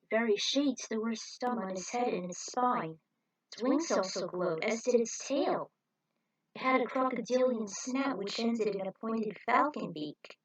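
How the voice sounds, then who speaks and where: A woman's voice: fairly high pitch, measured pace, slightly rough, poor audio. A woman narrating a scripted adventure story in a dramatic read-aloud manner, with the occasional stumble. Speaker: narrator reading own fan fiction.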